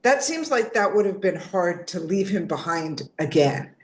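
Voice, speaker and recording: A woman's voice: lowish voice, expressive, measured pace. A woman hosting an online interview in a casual way, heard over a video call. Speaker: host and moderator. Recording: roomy echo on online call.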